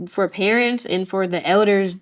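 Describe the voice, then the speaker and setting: A woman's voice: medium pitch, moderate pace. A teenage girl in a youth discussion, talking animatedly and repeating words as she thinks. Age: teens. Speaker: youth participant. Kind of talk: casual discussion.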